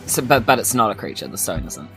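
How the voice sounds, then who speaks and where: A woman's voice: a bass voice, sing-song, quick pace, soft background music. A woman with a New Zealand accent, playing in a tabletop game, chatty and playful with rules questions. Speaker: player. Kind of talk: tabletop game play chat.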